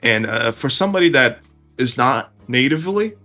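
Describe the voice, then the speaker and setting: Bright-voiced man. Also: mid-range voice, animated, normal speed, faint music behind. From Brazil. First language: Portuguese. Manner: earnest, with restarts. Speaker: podcast co-host. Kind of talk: casual chat.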